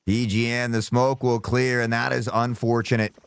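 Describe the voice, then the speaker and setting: A male esports caster with a slightly sore throat, calling play-by-play and erupting into loud shouts on big plays. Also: medium pitch, level tone, moderate pace, vocal fry, noisy background.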